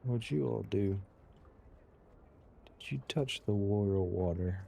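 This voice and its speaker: Low gravelly man muttering through a game stream. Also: takes it slowly, mellow. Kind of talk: casual live gameplay commentary.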